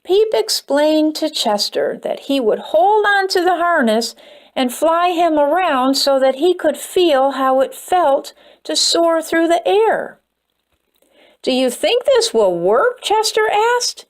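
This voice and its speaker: A woman reading a children's picture book aloud as storyteller, expressive and soothing. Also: high voice, slow pace.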